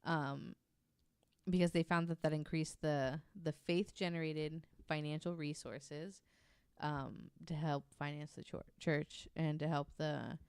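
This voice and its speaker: A woman's voice: deep voice, steady tone, measured pace, darkish tone, clear, resonant tone, dry recording, studio quality. A woman hosting a podcast, telling a nonfiction story in a casual, candid and self-deprecating way with some swearing.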